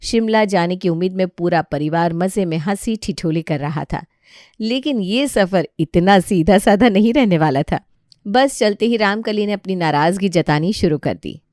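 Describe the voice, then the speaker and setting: A woman's voice: lowish voice, normal speed, a little mellow, no room sound, clean studio sound. A woman narrator reading a scripted family story.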